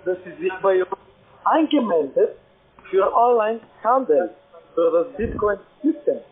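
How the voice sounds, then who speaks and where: A man with a husky voice making a sales pitch as a phone caller. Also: big pitch swings, unhurried. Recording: tinny, narrow phone line.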